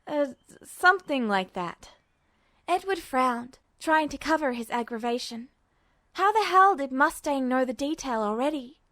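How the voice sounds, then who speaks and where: High-voiced woman reading fan fiction. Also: animated, moderate pace, a bit bright, no room sound, studio quality. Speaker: podfic reader.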